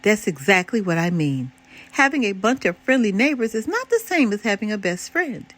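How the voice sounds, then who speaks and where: Woman voicing an earnest, expressive little porcupine fellow. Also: mid-range voice, normal speed. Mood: thoughtful. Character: earnest, friendly male storybook porcupine seeking a best friend.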